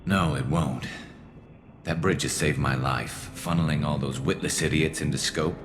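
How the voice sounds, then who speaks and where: A man playing a weary, wry alien vigilante sniper in a video game, speaking in a dry, laconic, gravelly way. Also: steady tone, moderate pace, background noise. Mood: dry, matter-of-fact. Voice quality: gravelly, low. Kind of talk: video game cutscene acting. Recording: music behind the voice.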